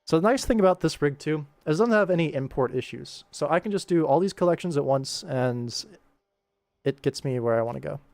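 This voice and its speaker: A man's voice: a little high, animated, brisk, vocal fry, a little mellow, no room sound. A young adult man chatting casually on a livestream while he works, rambling along in a jokey, self-deprecating way. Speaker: animator streaming his work. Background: background music.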